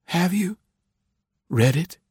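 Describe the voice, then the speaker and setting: Man acting teenage boy, high-pitched, polite, earnest, pleading, frightened, storybook character, dry sound. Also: steady tone, a little slow, no echo, close to an everyday voice. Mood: faint, fearful. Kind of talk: audiobook character dialogue.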